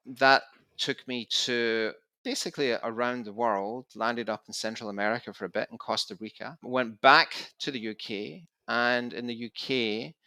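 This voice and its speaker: A man's voice: mid-range voice, bright, forceful tone, no room sound. A Scottish man in his fifties, a podcast guest, telling his story in a chatty, easygoing way. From Scotland. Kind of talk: casual interview storytelling.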